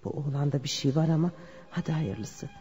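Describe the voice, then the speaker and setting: A woman's voice: sits low, fairly quick, warm tone, breathy edge. An actress playing a worried, doting mother of a grown son, fussing over him in a radio play. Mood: concerned. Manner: solicitous, fussing. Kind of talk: Turkish radio theatre acting. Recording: muffled lo-fi drama recording with music.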